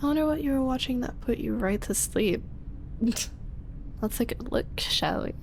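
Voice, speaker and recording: Woman voicing a young roommate, slow unhurried talk, slightly high voice, soft, whispery, flustered. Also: creaky, slight background noise. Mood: fond teasing. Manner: soft-spoken, drifting into whispers. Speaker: voice actress in audio roleplay. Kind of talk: ASMR roleplay.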